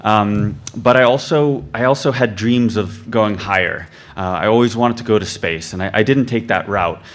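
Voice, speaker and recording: A young man born in the UK gives an earnest self-introduction at a mic, relaxed and loose, with plenty of ums and uhs. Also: lowish voice, normal speed. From born in the UK, raised in many countries. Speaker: photographer and filmmaker. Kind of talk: short self-introduction speech. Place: club event hall, talking at a mic.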